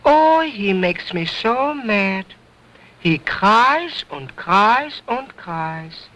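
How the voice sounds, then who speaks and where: A man's voice: high-pitched, big pitch swings, very slow, pushed and bright, slight background noise, voice pushed up, heavily acted. A man voicing his cheeky ventriloquist dummy, a fresh-mouthed little boy who talks back in broken English. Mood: sassy. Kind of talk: vaudeville ventriloquist routine. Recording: dull lo-fi old recording.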